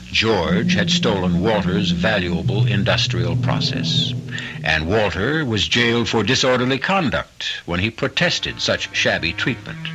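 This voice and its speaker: A man hosting an old-time radio drama, delivering scripted narration in a theatrical, deliberate, philosophical manner. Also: on the low side, background noise. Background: music.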